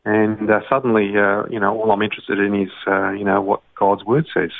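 Australian man with a gravelly, tinny-line voice. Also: on the low side, level tone, a little fast, no room sound. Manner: hesitant, many uh fillers. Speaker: guest, doctor and songwriter. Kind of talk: casual interview. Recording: dry tinny phone-like line.

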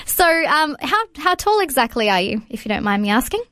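A woman's voice: sits high, big pitch swings, brisk, bright, forceful tone, dry recording, clean studio sound. A young woman in her twenties co-hosting an interview, asking long chatty questions with many fillers. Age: 20s. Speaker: radio co-host. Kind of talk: casual interview chat.

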